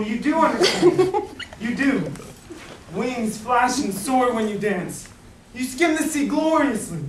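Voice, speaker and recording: A young man playing a lovelorn, idealistic poet in an amateur stage play, impassioned and declamatory. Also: high voice. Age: young adult. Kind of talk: theatrical stage acting. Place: indoor theatre stage. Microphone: distant audience camera. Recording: echoey theatre stage from far off, faint noise.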